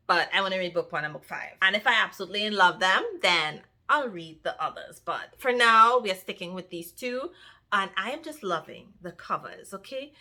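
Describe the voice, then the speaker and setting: A young woman with a Trinidadian accent, chatting casually to her viewers as a book vlogger, lively and talking straight to them. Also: mid-range voice. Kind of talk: casual chat to camera.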